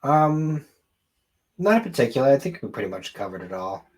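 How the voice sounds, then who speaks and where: A man's voice: medium pitch, big pitch swings, brisk. A man co-hosting a podcast, talking casually and offhandedly in an informal conversational way. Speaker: podcast co-host. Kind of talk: casual chat about anime and games.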